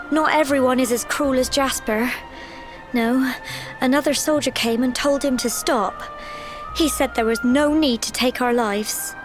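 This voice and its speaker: A gentle young villager in a video game cutscene. Her voice sits high and she speaks slowly, with earnest, emotional hesitations. Music swells clearly behind her. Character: gentle, tender-hearted young village woman.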